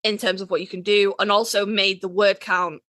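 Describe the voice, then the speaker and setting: A woman's voice: medium pitch, little pitch movement, moderate pace, a bit bright, no echo, clean studio sound. A woman co-hosting a podcast, chatting casually with a wry, emphatic way of talking. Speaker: podcast co-host. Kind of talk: casual chat.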